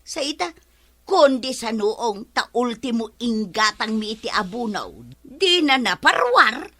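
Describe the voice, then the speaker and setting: A woman's voice: fairly high pitch, expressive, measured pace, fairly bright tone. A woman playing a discouraged village farmer in an Ilocano comedy drama, lamenting plaintively with an Ilocano accent. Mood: complaining.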